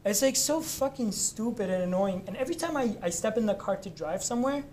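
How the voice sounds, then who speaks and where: A man's voice: sits high, animated, a little fast, a little mellow, slight background noise. A young man from Lebanon chatting casually, telling stories in a loose, profane way. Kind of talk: casual chat.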